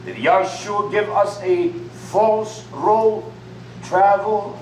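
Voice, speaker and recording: A man's voice: high-pitched, fairly even intonation, slow, a little mellow. A man preaching a sermon in short, emphatic phrases. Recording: echoey room from far off with faint noise.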